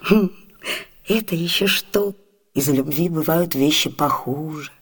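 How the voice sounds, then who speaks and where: Husky, determined young lady. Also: low voice, measured pace, full character voice. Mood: resolute. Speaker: actress in a radio play. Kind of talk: theatrical Soviet radio drama acting.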